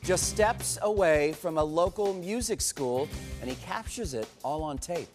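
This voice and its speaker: A man's voice: a little high, expressive, a little slow, over music. An American man anchoring a TV newscast, moving between reading copy and conversational banter with a co-anchor. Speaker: TV news anchor.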